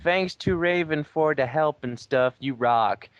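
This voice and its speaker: A man's voice: a little high, a little slow, smooth, no echo, highs cut off. A man giving a dramatic reading of fan fiction, breaking off with mocking asides. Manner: breaks off to mock the text. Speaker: player in a dramatic reading game.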